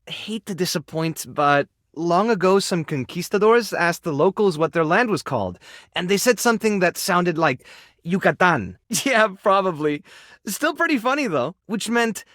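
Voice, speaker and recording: A soft-hearted, self-effacing animal rescuer from a video game. His delivery is shy and earnest, full of small hesitations. The pitch moves around in an animated way, and the recording is dry, with no room sound. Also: normal speed, no room sound. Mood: chatty. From Mexico (character). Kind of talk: video game character voice acting.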